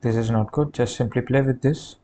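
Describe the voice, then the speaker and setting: A man giving a halting, hesitant step-by-step software tutorial over a screen recording. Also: mid-range voice, steady tone. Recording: clear background noise.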